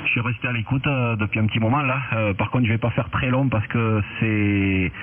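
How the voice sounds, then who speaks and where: A man chatting casually as an amateur radio operator, halting a little and repeating words, heard over a radio link. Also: lowish voice, steady tone. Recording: narrow tinny radio link with hiss.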